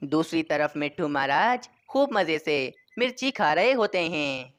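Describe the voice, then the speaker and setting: A woman's voice: sits low, sing-song, unhurried. A woman reading scripted narration for a children's story.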